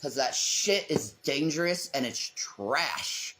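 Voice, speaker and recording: A woman's voice: deep voice, animated, slow pace, a bit bright. A woman ranting defiantly in a long monologue, emphatic and repetitive, her voice a little strained. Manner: emphatic, repeats herself insistently.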